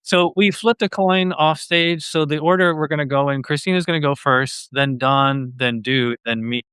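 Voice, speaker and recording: A man's voice: fairly high pitch, moderate pace, a bit bright, smooth, no echo. A man hosting a podcast in a casual, conversational style. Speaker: podcast host.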